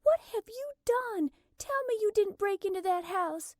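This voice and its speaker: An exasperated, high-strung woman voiced by a female audiobook narrator scolds and frets with urgent emphasis. Her pitch is pushed well up, and the sound is dry and close. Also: slow, dark tone, no echo. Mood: alarmed, scolding.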